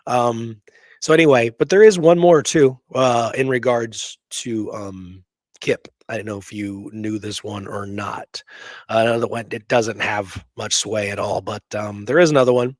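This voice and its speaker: A man co-hosting a casual history discussion, telling the story in an animated way with rhetorical questions. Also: lowish voice, normal speed, no echo. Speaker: podcast co-host presenting history research.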